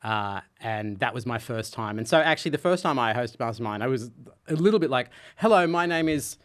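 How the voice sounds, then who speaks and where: A man chatting on a podcast. He talks fast and staccato and tells stories with animation. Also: medium pitch, expressive. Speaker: podcast guest, quiz show host. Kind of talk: casual chat.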